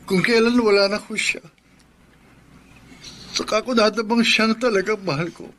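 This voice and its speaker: A man playing a guilt-ridden young adult son in a radio drama, speaking slowly and heavily. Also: high voice, fairly even intonation, fairly bright tone, slight background noise. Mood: anguished. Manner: slow, heavy, remorseful.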